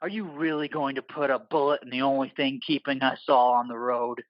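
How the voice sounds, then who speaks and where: A man's voice: medium pitch, steady tone, a little fast, dry recording, highs cut off, natural voice. A man voicing a manic, taunting villain driver in an audio play, gleeful and unhinged. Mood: mocking. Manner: taunting, gleeful, maniacal laughing.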